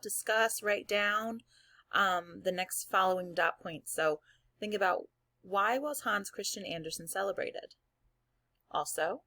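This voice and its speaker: A woman's voice: lowish voice, fairly bright tone, no echo. A woman schoolteacher reading aloud and giving her class encouraging, step-by-step instructions in a recorded lesson. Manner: addresses pupils directly, encouraging, step-by-step. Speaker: elementary school teacher.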